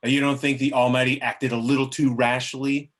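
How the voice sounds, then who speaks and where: A man's voice: medium pitch, little pitch movement, brisk, close to an everyday voice. A man playing a bitter, scheming male demon and fallen muse in a script table read, speaking in theatrical, grandiose monologue with the odd stumble. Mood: self-justifying. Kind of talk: amateur table reading of a film script.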